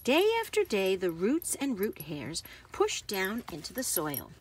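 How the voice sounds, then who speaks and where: A woman reading a children's book aloud with careful, expressive phrasing for young listeners. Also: medium pitch, a little slow, slight background noise.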